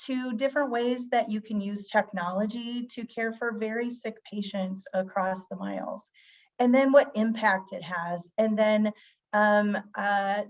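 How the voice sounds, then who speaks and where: A woman physician giving a webinar presentation, her voice a little scratchy as she gets over a cold. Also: medium pitch. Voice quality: slightly scratchy. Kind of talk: webinar presentation, semi-scripted. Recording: dull, band-limited webinar audio.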